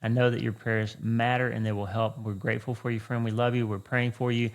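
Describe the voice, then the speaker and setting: A man hosting a podcast in a conversational, earnest, effusive and folksy way, with a creaky voice. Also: medium pitch, level tone, a little fast. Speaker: podcast host. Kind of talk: conversational interview and podcast talk.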